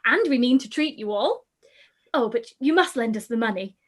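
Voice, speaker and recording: A woman's voice: on the high side, animated, normal speed, background noise, lightly acted. A woman playing a giddy, careless teenage girl who chatters in a live-read radio play. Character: giddy, silly youngest sister, teenage girl.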